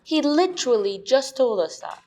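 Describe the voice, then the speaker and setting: A woman's voice: sits high, big pitch swings, moderate pace, warm tone. A woman playing a cheeky, earnest young lad helping investigate in an amateur comedy murder mystery, chatty and colloquial.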